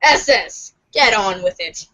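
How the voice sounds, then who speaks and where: A woman's voice: medium pitch, animated, slow pace, bright, forceful tone. A woman doing a playful group reading of a webcomic script as a podcast co-reader.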